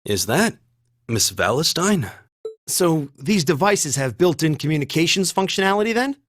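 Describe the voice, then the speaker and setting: Husky, indignant young male student. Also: fairly high pitch, sing-song, normal speed, fairly bright tone, dry recording, studio quality. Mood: suspicious. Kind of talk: English video game voice acting.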